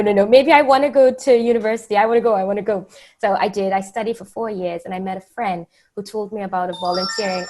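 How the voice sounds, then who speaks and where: A woman from the Bahamas with a mid-range voice, talking as a guest in a casual interview. She tells personal stories in an animated, conversational way. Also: mid-range voice.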